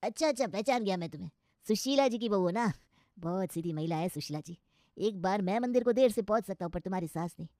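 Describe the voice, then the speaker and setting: A woman voicing a kindly, talkative male temple priest in a cartoon story, with a gentle, reflective, familiar manner. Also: medium pitch, no echo, clean studio sound, natural voice.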